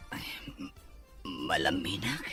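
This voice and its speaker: A man's voice: takes it slowly, bright, forceful tone, faint music behind. A man playing a sick, guilty husband who talks in a weak, whispery voice in an audio drama. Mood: weak, fearful.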